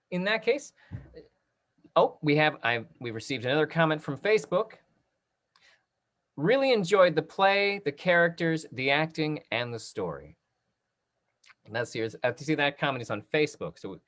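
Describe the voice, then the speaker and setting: The host of an online theater talkback speaks in a mid-range voice with very lively, swinging intonation. The delivery is casual and encouraging, coming over a video call. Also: mid-range voice.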